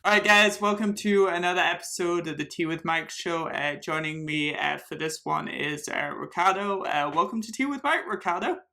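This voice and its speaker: Man, podcast host, bright tense tone, upbeat, stammers, casual chat. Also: fairly high pitch, normal speed, gravelly, few pauses. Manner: upbeat, stammers and restarts often. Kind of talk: casual interview.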